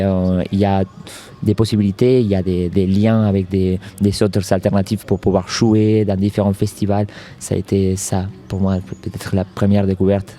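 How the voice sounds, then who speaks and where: A man from Argentina, speaking with an Argentine Spanish accent, answers interview questions haltingly, often restarting and repeating words. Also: fairly low pitch, mellow. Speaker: circus artist guest.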